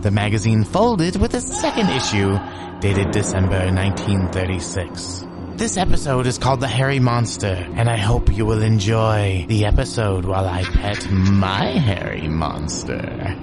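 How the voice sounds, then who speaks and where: A man's voice: fairly low pitch, animated, a little slow. A man hosting a horror radio podcast with scripted, theatrical introductions. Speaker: podcast host. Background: loud music.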